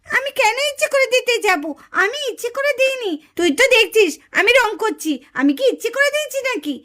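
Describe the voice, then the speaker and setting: Scheming little cartoon girl, played by a woman, very high, bright and whiny. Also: expressive, a little fast, full character voice. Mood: defensive. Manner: scheming, self-justifying, whiny. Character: sly, jealous little girl at a drawing contest. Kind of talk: Bengali puppet-show cartoon acting.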